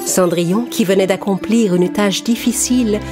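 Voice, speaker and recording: A woman reading scripted narration for an animated children's story. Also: medium pitch, normal speed. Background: music.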